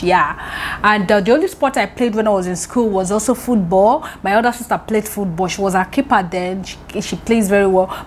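A young woman from Nigeria, whose first language is Yoruba, answers viewer questions in a relaxed vlog with a Nigerian accent. She is chatty and expressive, laughs often and doubles her words for emphasis. A lapel mic in an indoor room catches her voice over a faint ambient hum. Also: mid-range voice. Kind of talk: casual Q&A to camera. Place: indoor room with pink wall, seated on sofa.

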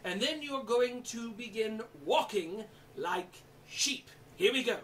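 Bumbling, excitable children's theatre storyteller, played by a stage performer, very bright voice, coaxing and stammering, faint noise behind. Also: medium pitch, slow, far from the mic. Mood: encouraging, playful. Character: Lenny, an eager, flustered, bumbling children's show storyteller. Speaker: performer in an interactive children's show.

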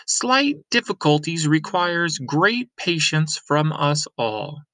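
A man voicing a flustered city mayor giving a formal, halting public speech in a read-aloud. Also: fairly high pitch, very animated, fairly bright tone, no echo, voice pushed up, exaggerated voice.

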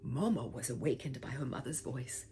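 An older woman reading a children's picture book aloud with theatrical whispers and swooping pitch, talking warmly to young listeners as "my dears". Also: lively intonation, moderate pace, breathy edge. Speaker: storybook reader. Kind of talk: expressive read-aloud for children. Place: home room with bookshelf, indoors.

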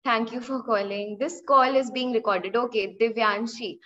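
A woman's voice: a little high, steady tone, normal speed, a bit bright. A young Indian woman with an Indian English accent, teaching an online class on data analytics, explaining with examples, asking her students questions, and often repeating or restarting phrases. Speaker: webinar instructor. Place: indoor room, online session. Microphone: laptop mic on a video call. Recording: dull lo-fi video-call audio.

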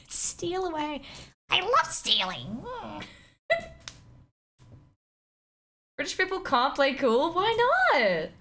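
A woman's voice: sits high, wildly swooping pitch, very slow, pauses often, bright, forceful tone. A woman in her twenties streaming a game, chatting casually, swearing and laughing a lot. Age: 20s. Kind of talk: casual live commentary over a game. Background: game music and dialogue.

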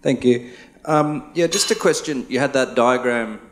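A man's voice: medium pitch, measured pace, slight background noise. A man in the audience asking a question, a bit hesitant, heard from across a hall.

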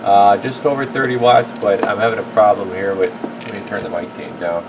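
A man's voice: fairly low pitch, fairly quick. A man giving casual hands-on repair commentary as a technician and video host, thinking aloud while he works. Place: indoor electronics workshop bench. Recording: noisy workshop with steady background hum.

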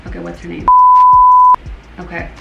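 A young woman chatting casually as she makes prank calls for a video. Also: normal speed. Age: young adult. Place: small room indoors. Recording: roomy echo with music behind.

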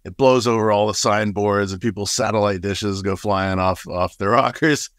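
A man in his forties who grew up in Nepal, a guest telling animated stories with frequent laughs over a webcam from a small room. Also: lowish voice, normal speed, no echo, studio quality. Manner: animated, laughs often, gestures broadly. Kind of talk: casual storytelling. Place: small room with paper screens. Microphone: video call webcam.

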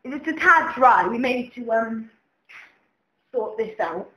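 A woman's voice: on the high side, animated, measured pace, sharp tone. A young woman giving a mock cookery demonstration as presenter. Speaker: cooking show presenter. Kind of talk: mock cooking show demonstration. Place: home kitchen. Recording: echoey kitchen, faint background noise.

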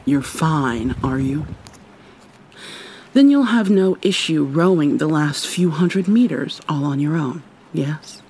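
A woman's voice: low voice, lively intonation, slow, noisy background, exaggerated voice. A woman playing an irritable, haughty but secretly caring vampire mermaid in an ASMR roleplay, sardonic and formal with exasperated sighs. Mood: sardonic anger.

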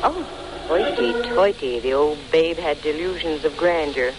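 A woman's voice: low-pitched, lively intonation, unhurried. Brassy female private eye: dry, breezy, wisecracking, old-time radio sound with slight noise. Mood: wry narration. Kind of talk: 1940s radio detective acting. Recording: noisy vintage 1940s radio recording.

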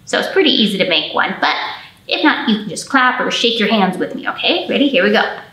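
A woman's voice: medium pitch, sing-song, fairly quick, bright, forceful tone. An American woman in her thirties speaking as a children's storytime host, explaining things simply and encouragingly to little kids. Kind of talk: storytime talk for young children. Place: indoor room with a painted mural. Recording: roomy echo, camera at a distance.

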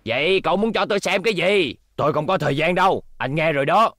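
Irritable crime boss, cartoon villain, impatient, slightly high voice, dry sound. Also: male voice, lively intonation, fast, a bit bright, dry recording. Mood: scheming, irritated. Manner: brusque, impatient. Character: scheming, irritable male crime boss posing as respectable. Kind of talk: animated series dub acting.

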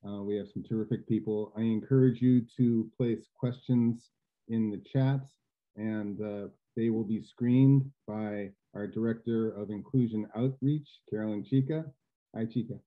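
A man's voice: fairly low pitch, fairly even intonation, a little slow. A middle-aged man hosting a school webinar, chatty and full of fillers and restarts. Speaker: admissions host. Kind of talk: webinar introduction. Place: home living room, indoors. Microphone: video call webcam. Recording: tinny phone-like video call audio.

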